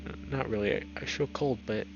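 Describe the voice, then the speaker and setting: A man voicing a frightened, shivering young male lynx in a visual novel, halting and timid. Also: fairly low pitch, big pitch swings, creaky, muffled recording, background noise. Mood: shaken, timid.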